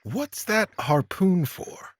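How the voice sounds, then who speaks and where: A man playing a stern but compassionate knight with a gravelly, husky voice in a fantasy audio drama. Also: sits high, big pitch swings, slow, no echo, exaggerated voice. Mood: firm.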